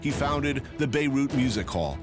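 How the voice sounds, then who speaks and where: A man reading scripted documentary narration in a measured, even way. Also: medium pitch, over music.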